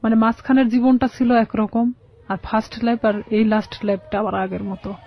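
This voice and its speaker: A woman's voice: fairly high pitch, flat delivery, a little fast. Dull-sounding recording of a Bangladeshi woman. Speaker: guest telling her life story. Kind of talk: personal storytelling in an interview.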